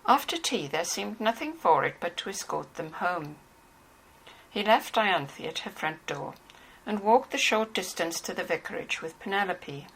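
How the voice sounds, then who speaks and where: Female narrator for literary fiction, scripted reading, gravelly fry in a lowish voice, faint hiss. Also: lively intonation, moderate pace, a bit bright, rough recording. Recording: faintly hissy home recording.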